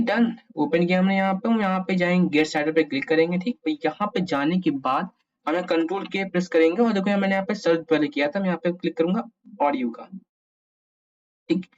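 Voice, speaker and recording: Muffled Indian man muttering through a coding tutorial. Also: high-pitched. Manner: mutters to himself, asks himself questions while working. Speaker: programming tutorial host. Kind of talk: casual screen-share coding tutorial, thinking aloud. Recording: dull, muffled lo-fi recording.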